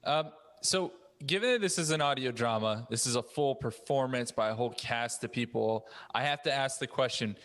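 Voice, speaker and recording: A man's voice: medium pitch, normal speed, hardly any pauses, a bit bright. A man moderating a casual podcast roundtable, posing questions to the panel in a reverberant recording.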